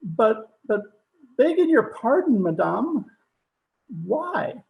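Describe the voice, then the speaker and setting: An actor as a deferential servant in an old radio play. The voice is gravelly and unhurried, with a swooping melody, always formal and apologetic. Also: medium pitch, very animated, phone-quality sound, exaggerated voice. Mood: polite, puzzled. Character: deferential household servant, polite and bewildered. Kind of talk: old-time radio drama acting.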